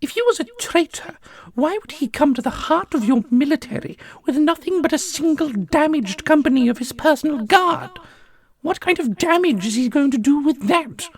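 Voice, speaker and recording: A man putting on a high, insolent young sidekick. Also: expressive. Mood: sarcastic. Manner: quick, sarcastic, insolent. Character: sharp-tongued, defiant companion of a dark prince.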